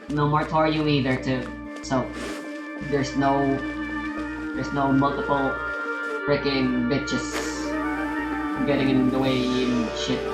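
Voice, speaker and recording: A low-voiced woman streaming a game, rambling casually and swearing often. Her voice sounds roomy. Game music plays clearly behind her. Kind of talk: casual chat while gaming.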